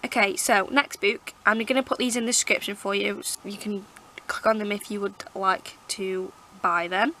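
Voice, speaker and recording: A woman with a croaky, hoarse throat, rambling chattily as she reviews her favourite books to camera. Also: mid-range voice, steady tone, moderate pace, pushed and bright, a little hiss or hum. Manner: rambling, chatty, lots of fillers and restarts. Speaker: book vlogger. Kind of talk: casual book review chat. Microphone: camera mic.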